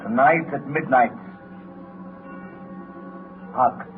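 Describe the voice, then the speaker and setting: An actor playing an aged, solemn spirit in a 1930s radio drama, grave and portentous. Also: male voice, mid-range voice, drawn-out words. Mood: solemn, foreboding. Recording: tinny 1930s radio recording with music.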